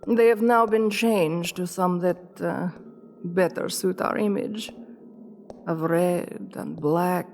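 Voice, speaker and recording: A woman playing an imperious, seductive vampire queen in an ASMR roleplay, commanding and teasing with condescending, deliberate delivery. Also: fairly low pitch, gravelly, a little mellow. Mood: haughty, composed. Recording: long reverb with music behind.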